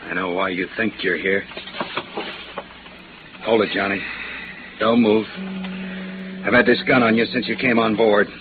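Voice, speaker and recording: A man's voice: fairly low pitch, steady tone, a little slow, long pauses, natural voice. Bitter cornered American fugitive on crackly radio, male actor. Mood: cornered, menacing. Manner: defensive, resigned, brooding. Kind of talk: 1950s radio noir acting. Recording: noisy, narrow-band vintage radio recording.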